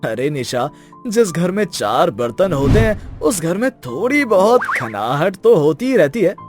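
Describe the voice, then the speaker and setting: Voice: medium pitch, very animated, measured pace, soft background music. A performer voicing Pradeep, a sneaky young husband who keeps three wives secret from one another and wriggles out of every tight spot, in a cartoon story. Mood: soothing. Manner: sneaky, talks his way out of trouble. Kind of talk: cartoon voice acting.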